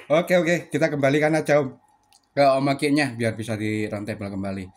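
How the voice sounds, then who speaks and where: A man's voice: mid-range voice, fairly even intonation, fairly quick. A man from Surabaya chatting casually over ham radio, with lots of fillers, ending each turn with a sign-off word. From Surabaya, Indonesia. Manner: chatty, many fillers, ends turns with "ganti". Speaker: ham radio operator and channel host.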